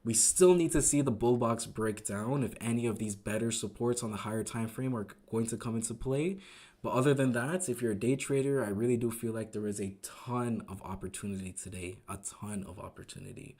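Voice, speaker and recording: A man hosting a trading live stream, recapping the market in casual, upbeat talk that keeps asking "right?", his voice growing a little raspy. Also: mid-range voice, moderate pace, darkish tone. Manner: enthusiastic, repeats words, asks "right?" often. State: voice getting raspy.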